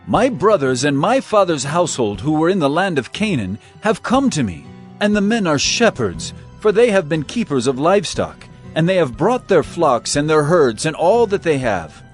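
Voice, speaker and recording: An earnest, fairly high voice from a man in the role rises and falls as it lays out instructions clearly. It sounds like a character in a dramatized scripture recording. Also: sing-song, moderate pace, soft background music. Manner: earnest and explanatory. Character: Joseph, earnest adult man instructing his family.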